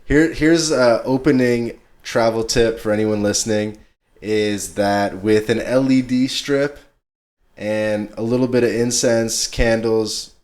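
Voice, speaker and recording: A man's voice: medium pitch, slow pace, a little mellow. A man hosting a podcast in a casual, laid-back chat, talking in slangy everyday English. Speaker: podcast host.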